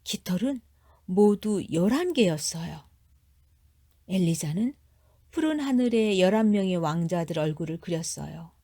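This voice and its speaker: Female children's storyteller: warm, mellow timbre, lowish pitch, expressive careful reading. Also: measured pace, no room sound, clean studio sound. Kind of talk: children's fairy tale narration.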